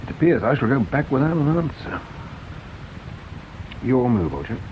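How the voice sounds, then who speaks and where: An actor playing a courteous English police inspector in a radio drama, asking measured, sceptical questions in an English accent. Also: male voice, medium pitch, sing-song, lightly acted. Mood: inquisitive, dry. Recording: dull old radio recording, faint noise.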